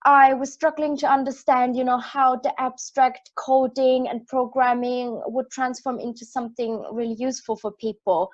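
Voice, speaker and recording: A woman's voice: high voice, flat delivery, normal speed, few pauses, lo-fi sound. A young woman from Austria with an Austrian accent, explaining her career in a friendly, organized way as a panelist on a live online panel. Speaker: project manager, panelist.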